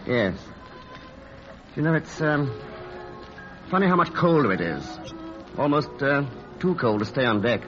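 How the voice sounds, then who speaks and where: Charming playboy, wistful and expressive. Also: male voice, mid-range voice. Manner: halting, wistful, self-deprecating. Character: charming idle playboy artist, tender and hesitant in love. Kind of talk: vintage radio romance acting. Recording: dull old radio recording, music behind.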